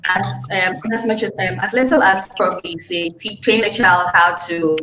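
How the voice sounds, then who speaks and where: A woman's voice: on the low side, steady tone, moderate pace, pushed and bright, soft background music. A woman from Ghana, a trainee behavior analyst, speaking thoughtfully on a panel. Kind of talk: panel discussion. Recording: echoey room with background noise.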